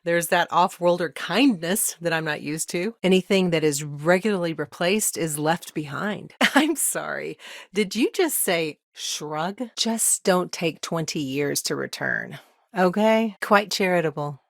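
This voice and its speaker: A woman playing a principled, earnest small-town lawyer in a sci-fi video game, speaking in a measured, articulate, conversational way. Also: on the low side, expressive, vocal fry, dry recording, studio quality. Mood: warm, amused. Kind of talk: video game character dialogue.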